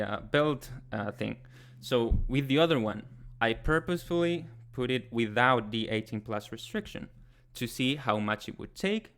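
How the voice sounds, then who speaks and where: Soft-spoken man with a Chilean accent. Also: medium pitch. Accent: Chilean Spanish. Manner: soft-spoken, hesitant, many ums and restarts. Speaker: ASMR channel host. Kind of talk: casual livestream chat, reading viewer comments.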